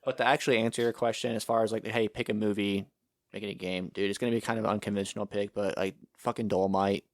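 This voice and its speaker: Guy rattling on quick on a podcast, lowish voice, excited and a bit sweary. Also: dry recording, clean studio sound. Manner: rambling and enthusiastic, swears casually. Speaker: podcast co-host. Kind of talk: casual chat.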